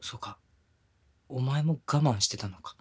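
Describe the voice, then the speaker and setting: A man's voice: mid-range voice, unhurried, husky. A man playing a smitten, apologetic young boyfriend in an intimate ASMR voice drama, speaking casually and tenderly. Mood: earnest, relieved.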